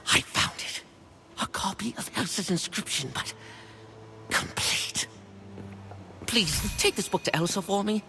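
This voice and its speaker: An actor playing a bookish apprentice librarian in a video game, fussy, nervous and awkward, prone to stammering and correcting himself. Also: male voice, high-pitched, measured pace, pauses often, pushed and bright, exaggerated voice. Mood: flustered, sheepish. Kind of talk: video game character dialogue. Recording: long reverb, faint background noise.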